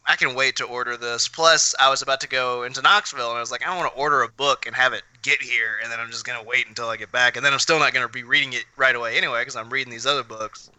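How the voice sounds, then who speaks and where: Bright, crisp-voiced man chatting bluntly. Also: medium pitch, steady tone, rapid-fire, few pauses, no room sound, poor audio. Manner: opinionated, blunt, profane. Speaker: podcast host. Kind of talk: casual chat with friends.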